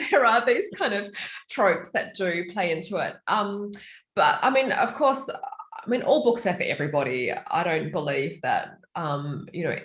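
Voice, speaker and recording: A woman with an Australian accent, an author chatting in a virtual panel, animated and full of fillers. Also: on the low side, echoey room.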